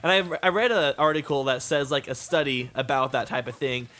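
A man's voice: medium pitch, brisk, a bit bright. A man talking casually as he plays a video game, rambling and often saying "like," with some swearing. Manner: rambling, peppered with "like". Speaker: gaming video co-host and player. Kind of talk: casual chat over gameplay. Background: video game play.

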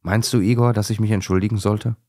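A man's voice: fairly low pitch, fairly even intonation, quick pace, darkish tone, no echo, studio quality, natural voice. A man voicing a grumpy, easily flustered female owl in a children's story. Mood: apologetic.

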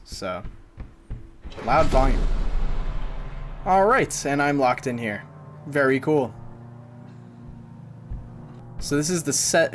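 A man in his twenties giving casual, wry, jokey commentary on a horror game as he chats to viewers. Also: mid-range voice. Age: 20s. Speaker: gaming streamer. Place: small indoor streaming room. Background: game music.

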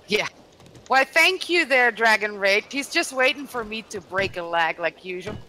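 A woman's voice: medium pitch, animated, moderate pace, noisy background. A woman from Belgium with a light Belgian French accent, chatting and laughing while playing a game on a livestream and greeting viewers. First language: Dutch, French and German. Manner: giggly, chatty, reads out viewer comments. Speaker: livestream co-player. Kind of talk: casual gaming chat.

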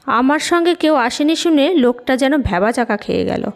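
A woman playing a tender but resolute mother in an audio horror story, telling things in an expressive, intimate way. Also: high voice, normal speed, creaky. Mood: amazed, recounting. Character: Monika, tender resolute mother sensing a child ghost.

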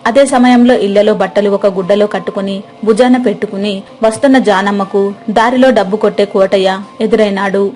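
A woman narrating a moral story and voicing the village wife, in a gentle, plain, homely way. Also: mid-range voice, steady tone, a little fast.

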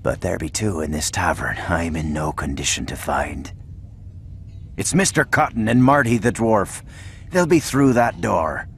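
Game sidekick: jovial, boozy first mate, lowish lively voice, salty pirate talk. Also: male voice, a little slow, slight background noise. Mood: hearty. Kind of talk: video game cutscene acting.

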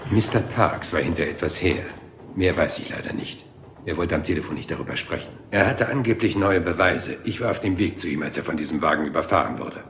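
A man's voice: low-pitched, fairly quick, noisy background. An actor playing a rumpled, humble male police inspector in a German crime drama dub, apologetic and politely persistent. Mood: humble, dogged.

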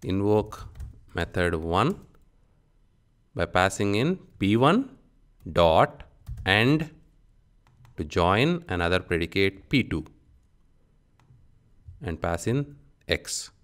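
A man giving an instructional software tutorial, dictating in short, clipped phrases as he works through each step. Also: low-pitched, very animated, takes it slowly, long pauses. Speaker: programming instructor.

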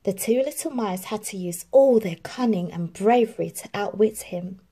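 A woman reading a children's story aloud in an expressive, deliberate narrating style. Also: mid-range voice, breathy edge. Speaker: storybook reader.

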